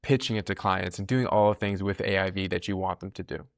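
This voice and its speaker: A man's voice: low voice, quick pace, vocal fry, dry recording, clean studio sound. A man hosting a podcast, asking a guest probing questions that he lays out step by step. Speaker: podcast host.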